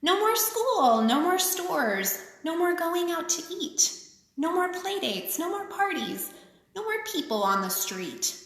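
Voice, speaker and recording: A woman reading a picture book aloud to children, with expressive, simple phrasing and chatty asides. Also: very high-pitched, a little slow. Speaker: children's author reading her own book. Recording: echoey, reverberant room.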